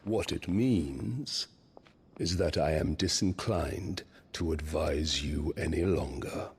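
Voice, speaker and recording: An actor playing a smug, imposing warlord in a video game, gravelly and formal, deliberate and taunting. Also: male voice, a bass voice, a little hiss or hum, exaggerated voice. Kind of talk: video game cutscene acting. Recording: loud music under the voice.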